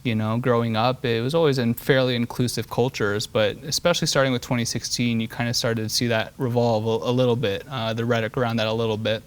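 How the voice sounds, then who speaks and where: An earnest man in his thirties, from Switzerland, talks through his political vision in a political interview. His voice sits at an ordinary middle pitch, and he restarts and repeats words as he thinks aloud. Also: fairly even intonation, quick pace, hardly any pauses, clean tone. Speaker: state representative guest.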